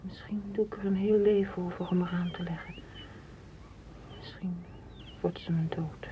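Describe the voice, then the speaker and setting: An actress playing a solitary, dreamy woman in a radio drama, speaking in a hushed, poetic way. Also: lowish voice, steady tone, normal speed, a little hiss or hum, natural voice. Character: solitary, dreamy, independent woman longing for open space. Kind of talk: literary radio drama acting.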